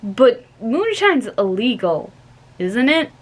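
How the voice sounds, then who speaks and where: A woman's voice: fairly high pitch, big pitch swings, slow, pitched above the performer's own voice, heavily acted. A young woman reading aloud and voicing an earnest, anxious boy in a slightly raised, eager voice.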